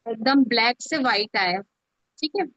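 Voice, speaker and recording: A woman's voice: fairly high pitch, lively intonation, moderate pace, a bit bright, dry recording. A woman instructor giving an informal step-by-step tutorial, often checking that listeners are following along. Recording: dull, lo-fi, dry close audio.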